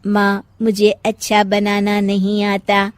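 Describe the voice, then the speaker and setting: A woman voicing an obedient, sweet young robot daughter-in-law in a moral story, speaking in a measured, polite, deferential way. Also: mid-range voice, completely flat delivery, no room sound, natural voice. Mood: obedient, calm. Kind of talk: moral-story character acting.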